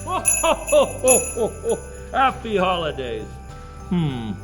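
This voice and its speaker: Jovial old Santa host, played by a man: highish voice, slow rambling delivery, festive music behind. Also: huge pitch swings, heavily acted. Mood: jolly, musing. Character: jolly, long-winded old Santa Claus. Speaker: show host. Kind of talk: in-character hosting.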